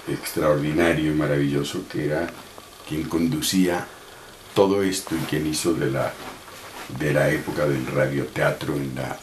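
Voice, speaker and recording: Older Colombian male, deep, emphatic storyteller, roomy sound with faint noise. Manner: emphatic, savouring phrases. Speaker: veteran radio actor reminiscing. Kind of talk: reminiscing interview. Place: living room, indoors.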